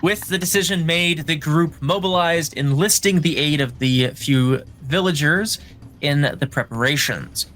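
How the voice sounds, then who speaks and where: A man's voice: on the high side, unhurried, a bit bright. A man acting as game master, narrating a dramatic recap and explaining rules casually.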